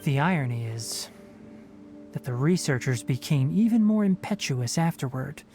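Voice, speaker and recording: A young, fox-eared forest ranger from a video game. He speaks in measured, articulate phrases with a thoughtful, matter-of-fact manner. Music plays softly behind him. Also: fairly high pitch, lively intonation. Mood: earnest, reflective. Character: thoughtful young male forest ranger with animal ears and tail. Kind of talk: video game character dialogue. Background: background music.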